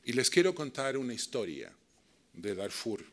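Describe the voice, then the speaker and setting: A man's voice: mid-range voice, sing-song, normal speed. A middle-aged man from Chile with a Chilean accent, giving a master class and telling a story to a live audience. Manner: warm anecdotal storytelling with direct questions to the audience.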